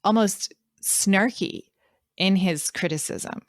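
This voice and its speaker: A woman hosting a podcast in casual discussion, thinking aloud with pauses as she forms her points. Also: mid-range voice, no room sound. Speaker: podcast host.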